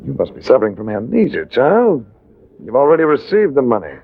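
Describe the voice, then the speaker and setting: A man's voice: medium pitch, huge pitch swings, fairly quick, creaky, heavily acted. A man acting a suave, hard-boiled adventurer in a 1950s radio drama, with a wry, cool, tough-talking manner. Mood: cool, probing. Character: suave, hard-boiled male adventurer narrating his own case. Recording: muffled, hissy vintage radio recording.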